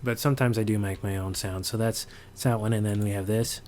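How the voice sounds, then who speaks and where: A man's voice: fairly low pitch, fairly even intonation, a little fast, background noise. A man presenting a casual sound design walkthrough, hesitant and thinking aloud as he goes. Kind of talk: casual screen-recorded walkthrough.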